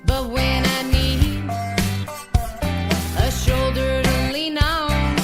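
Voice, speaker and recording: A woman from Canada singing a country song in slow, drawn-out lines over a country band. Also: high-pitched, flat delivery, breathy edge, dry recording. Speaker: country singer. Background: country band with guitar.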